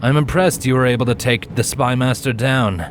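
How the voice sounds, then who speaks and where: A man's voice: medium pitch, moderate pace, background noise, pitched above the performer's own voice, close to an everyday voice. A man voicing a smug, menacing male pony assassin who taunts his prey in a fantasy story.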